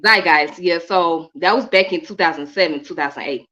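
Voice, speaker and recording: A woman raised in Atlanta, a guest telling her story in a relaxed, repetitive, colloquial way. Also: lowish voice, normal speed, dull audio. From Midwest-born, raised in Atlanta. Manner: repeats herself, relaxed, colloquial. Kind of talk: casual interview storytelling.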